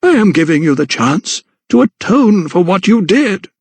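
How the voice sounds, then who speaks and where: A man's voice: high voice, very animated, slightly rough, dry recording, voice pushed up, exaggerated voice. A man voicing a sinister, condescending elderly vicar, a religious fanatic, in an audiobook. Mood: coaxing, insistent. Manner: slow, sanctimonious, unctuous. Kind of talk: dramatised audiobook character dialogue.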